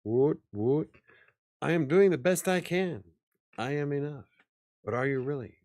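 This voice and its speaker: A man hosting a casual after-hours chat show, rambling, laughing often and swearing now and then, with a slightly raspy voice. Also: on the high side, lively intonation, measured pace, a little mellow, no room sound, clean studio sound. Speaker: host.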